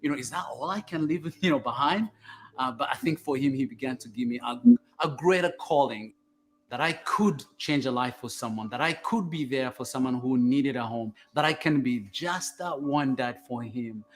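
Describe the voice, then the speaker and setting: A man's voice: mid-range voice, animated, normal speed, fairly bright tone. A man from Uganda, a foster and adoptive father, telling his life story in an earnest, reflective, heartfelt way. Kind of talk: personal testimony in a documentary clip.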